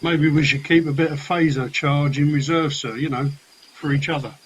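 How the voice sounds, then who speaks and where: A man's voice: fairly high pitch, fairly even intonation, normal speed, husky, rough recording. A man playing a chirpy, wily crewman in a comedy radio play, casual and matter-of-fact.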